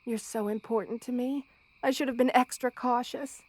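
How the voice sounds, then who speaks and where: A woman's voice: on the high side, fairly even intonation, normal speed, natural voice. A woman playing a kind, protective spider queen with a tender, formal manner in an ASMR roleplay. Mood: worried, relieved. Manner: tender, doting, softly commanding, formal wording. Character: motherly female spider queen, kind, protective, regal monster. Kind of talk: ASMR roleplay audio drama.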